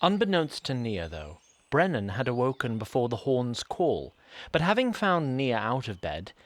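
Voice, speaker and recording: Man as a lively-pitched fantasy storyteller. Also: no echo. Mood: measured, storytelling. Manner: literary, deliberate storytelling. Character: omniscient male storyteller of a fantasy tale. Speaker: narrator. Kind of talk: scripted storybook narration.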